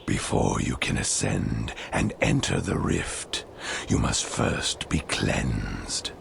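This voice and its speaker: A man voicing an ancient, regal ghostly hero in a video game, with a breathy rasp and solemn, theatrical pronouncements. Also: a bass voice, big pitch swings, takes it slowly, slight background noise, full character voice. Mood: solemn, portentous. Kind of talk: video game cutscene acting.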